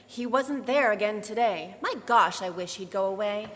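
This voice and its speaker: A woman's voice: mid-range voice, moderate pace, fairly bright tone, slight background noise, natural voice. A woman playing a spirited lady companion to a crime fighter in theatrical 1940s radio drama style. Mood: playful. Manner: theatrical, exclamatory. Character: lively, lovely female companion of a crime fighter. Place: church, live stage performance. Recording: live in echoey hall.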